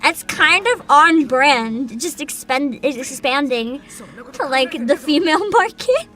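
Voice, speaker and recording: A woman hosting a livestream, chatting casually and reacting in an animated, exclamatory way with frequent swearing. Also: high voice, slow, soft background music. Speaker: livestream host, VTuber.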